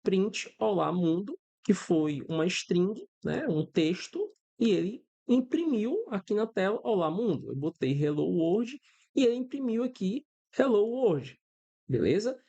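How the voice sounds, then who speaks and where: A man's voice: sits high, big pitch swings, measured pace, vocal fry, warm tone, lo-fi sound. A Brazilian man in his thirties teaching a coding class step by step, checking in with his students often and using everyday examples. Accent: Brazilian Portuguese. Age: 30s. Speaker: programming instructor. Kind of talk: screen-recorded coding lesson.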